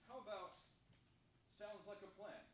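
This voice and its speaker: Actress as a teasing friend, echoey stage. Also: female voice, mid-range voice, fairly even intonation, unhurried, natural voice. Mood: matter-of-fact. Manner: teasing, matter-of-fact, coaxing. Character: encouraging, teasing female helper handling her friend's texts. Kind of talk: staged play reading before an audience. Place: indoor room, staged reading, distant mic. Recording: very echoey, distant, noisy live recording.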